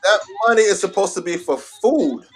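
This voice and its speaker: A man's voice: high voice, animated, normal speed, soft background music. A man chatting casually as a radio host, emphatic and repeating phrases for stress.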